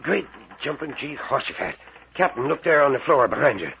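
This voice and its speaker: An actor playing a grumbling, uneasy small-town doctor, folksy and excitable, in 1940s radio mystery style. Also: male voice, medium pitch, brisk, creaky. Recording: muffled, noisy old-time radio recording.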